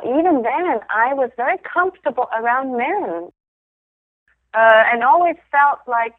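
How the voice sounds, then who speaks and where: A woman's voice: fairly high pitch, no echo. Laughing Danish-born woman on a phone line. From Denmark. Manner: reflective, laughs often, many restarts. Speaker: interview guest, photographer of women. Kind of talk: casual conversational interview.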